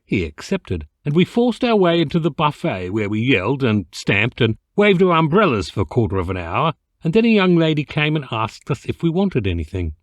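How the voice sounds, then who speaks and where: A man reading a comic literary story as an amateur narrator, theatrical and expressive with mock dignity. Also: medium pitch, moderate pace, few pauses, dry recording. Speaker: amateur voice actor reading an audiobook excerpt.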